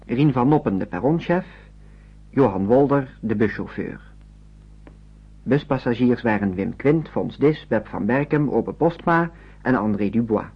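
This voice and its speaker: A man's voice: medium pitch, lively intonation, a little slow, slightly rough. A man working as a radio announcer, reading formally from a script. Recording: dull old radio recording.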